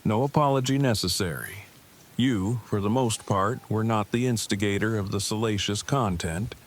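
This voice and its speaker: A man's voice: lowish voice, slight background noise, close to an everyday voice. A man playing a polite, pedantic man with formal, wordy speech in an audio drama. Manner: formal, verbose, precise wording. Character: polite, pedantic, formal-spoken man, easily embarrassed game master. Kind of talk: scripted audio drama acting.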